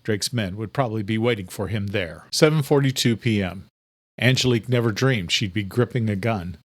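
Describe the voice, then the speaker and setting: A man's voice: lowish voice, a little fast, dry recording. A man reading scripted narration for a thriller audiobook.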